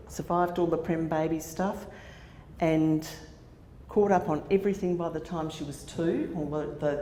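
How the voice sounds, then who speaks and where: A woman's voice: low-pitched, warm tone. A woman in her sixties with an Australian accent, telling a personal story to a conference audience, with fillers and restarts along the way. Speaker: conference speaker. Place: conference hall. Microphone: handheld microphone. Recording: echoey hall with faint noise.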